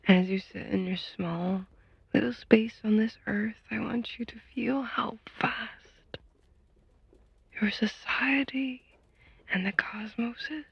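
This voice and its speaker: Breathy, whispering woman guiding meditation. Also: fairly high pitch, steady tone, dry recording, muffled recording. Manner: gentle, soothing, unhurried with long pauses. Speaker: guided meditation host. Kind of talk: soft whispered guided meditation.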